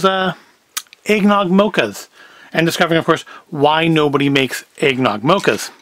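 A man's voice: sits high, fairly bright tone, slight background noise. A middle-aged man giving casual cooking and food review commentary with dry, self-deprecating humour. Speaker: video host. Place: indoors at home, kitchen and tabletop set.

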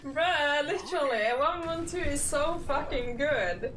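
A young woman doing live, casual gameplay commentary, excitable and quick to swear as she reacts. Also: sits high, background noise. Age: young adult. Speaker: game streamer. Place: indoor streaming setup. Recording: roomy, slightly distant, game music behind.

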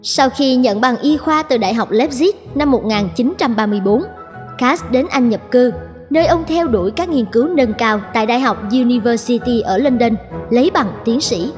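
Higher-pitched woman narrating over music. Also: normal speed, a little mellow. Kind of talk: scripted documentary-like narration. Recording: clear music behind narration.

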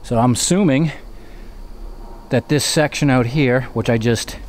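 A man in his forties talks his way up a wooded mountain, musing with quiet curiosity about what he finds along the way. The voice sits at a middling pitch and is picked up by a camera worn on his body outdoors, with a faint hiss of outdoor noise underneath. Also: a little slow. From New England. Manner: musing, curious, thinking aloud as he walks. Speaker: hiking vlogger. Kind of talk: casual walking narration to camera. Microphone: body-worn action camera.

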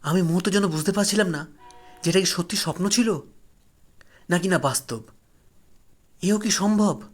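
A man's voice: sits high, normal speed. A man narrating a horror story in the first person, solemn, dramatic and emotive.

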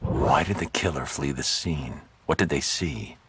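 A man playing a grim, brooding vigilante detective in a video game, low and gravelly, terse and stern. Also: lively intonation, unhurried, a bit bright, exaggerated voice. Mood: grim, analytical.

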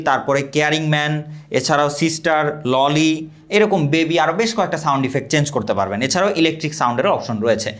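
Young guy rattling off a gadget review super fast, kinda high voice, salesy. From Bangladesh. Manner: rapid, energetic sales pitch. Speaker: product reviewer. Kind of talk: scripted product review.

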